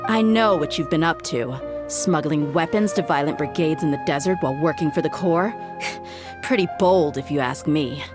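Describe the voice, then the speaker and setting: A woman's voice: moderate pace, over music, natural voice. A woman voicing a blunt, tough female mercenary in a video game, speaking firmly and plainly. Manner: blunt, firm, low-key.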